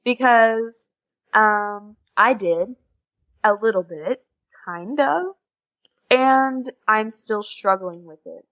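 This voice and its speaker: A woman's voice: a little high, slow. A young American woman talking casually in an interview, giving earnest, encouraging advice. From United States. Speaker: podcast guest, exchange student. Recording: dull lo-fi podcast recording.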